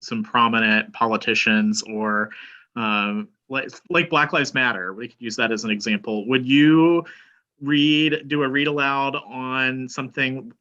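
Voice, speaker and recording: Bright-voiced man on dull call audio. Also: mid-range voice, fairly even intonation, unhurried. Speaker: webinar participant asking questions. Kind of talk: informal discussion.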